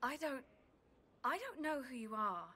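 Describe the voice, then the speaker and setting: High-voiced, hesitant, earnest healer. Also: female voice, very animated, unhurried, sharp tone, slightly rough, exaggerated voice. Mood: confused, alarmed. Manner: deferential, hesitant. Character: timid, earnest, deferential female healer. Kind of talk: dramatic video game voice acting.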